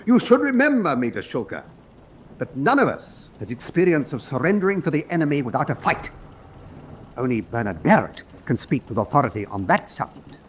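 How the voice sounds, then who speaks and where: An actor playing a stern, reproving court-martial president in a radio drama, speaking with authority. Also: male voice, medium pitch, big pitch swings, fairly quick, a little hiss or hum, close to an everyday voice.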